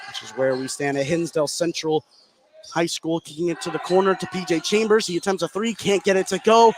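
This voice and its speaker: High-voiced male basketball play-by-play commentator, noisy gym. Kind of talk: live radio basketball commentary. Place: courtside in a high school gym. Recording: clearly noisy gym ambience. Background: clear gym noise.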